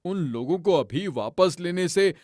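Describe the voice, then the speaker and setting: Nervous blustery death god, stammers a lot, drawn out, cartoon. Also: male voice, high voice, expressive, unhurried, a little mellow, dry recording, clean studio sound. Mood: flustered. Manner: stammering, flustered, pleading. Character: blustering male god of death, anxious and fawning. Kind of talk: Hindi cartoon dub.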